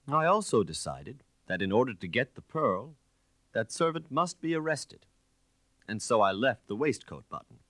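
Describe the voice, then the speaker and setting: A man voicing a suave, self-satisfied gentleman thief in an audiobook, speaking in a proud, grandiloquent manner. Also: medium pitch, dry recording, pitched above the performer's own voice. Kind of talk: audiobook character reading.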